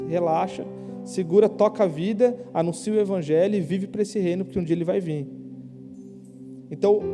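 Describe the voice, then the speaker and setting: A young man preaching a casual youth sermon in a church, slangy and conversational, asking the crowd quick questions. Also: sits high. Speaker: youth pastor. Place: church hall. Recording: live church hall with long reverb.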